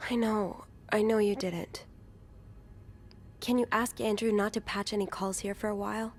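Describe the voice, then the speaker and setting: An actress playing an earnest, uneasy young woman working as a hotel maid in a video game, speaking softly and a little hesitantly. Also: mid-range voice, little pitch movement, moderate pace, a little hiss or hum, natural voice. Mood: worried. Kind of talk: video game dialogue acting.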